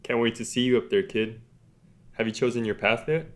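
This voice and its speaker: A man reading the part of a serious, tall teenage boy in a dry, even manner. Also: mid-range voice, brisk, voice pushed up, lightly acted.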